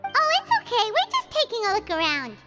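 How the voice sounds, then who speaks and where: A woman's voice: very high-pitched, huge pitch swings, fairly quick, highs cut off, loud music behind the voice, full character voice. A woman voicing a tiny, childlike fairy companion in a video game, chirpy and curious. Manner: refers to self in third person, exclaiming. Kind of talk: animated video game character acting.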